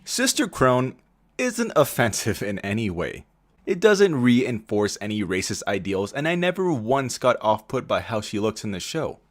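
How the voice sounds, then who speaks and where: A man's voice: mid-range voice, sing-song, moderate pace. A man speaking casually as he gives his response as a video commentator. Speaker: YouTube commentator.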